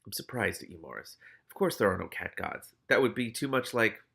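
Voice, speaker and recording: A man's voice: fairly low pitch, expressive, a little fast, creaky, noisy background. A man playing a tall, solemn, dryly puzzled skeletal reaper in a storybook reading, with formal, matter-of-fact speech.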